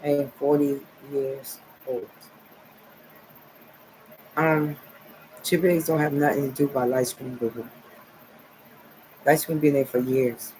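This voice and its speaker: Man, 40s, from New Jersey; repetitive, rambling solo vlog, mid-pitched voice over faint background noise. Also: level tone, measured pace, pauses often, a little mellow, low-quality recording. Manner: rambling, repetitive, filler "okay" and "nice". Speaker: vlogger. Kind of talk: rambling monologue to camera.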